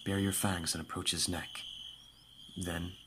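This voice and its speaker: Man acting a deep-voiced lordly vampire master. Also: flat delivery, noisy background. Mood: encouraging. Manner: formal, measured, imperious. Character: aristocratic young male vampire master, commanding yet doting. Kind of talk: ASMR audio roleplay acting. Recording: music behind the voice. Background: background music.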